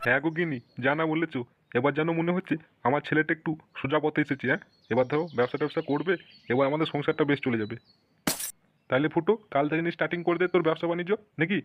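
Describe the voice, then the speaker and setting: A man playing a gruff, excitable middle-aged village father in a comic cartoon, exclaiming in slangy speech. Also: a little high, racing, lightly acted. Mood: pleased. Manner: exclaiming, slangy, tag questions. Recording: dull lo-fi audio with some noise.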